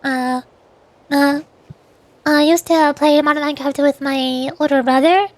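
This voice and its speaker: A woman's voice: sits high, fairly even intonation, a little slow, no echo. A woman livestreamer chatting casually, a little hesitant and correcting herself as she goes. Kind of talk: casual livestream chat, reading out chat messages.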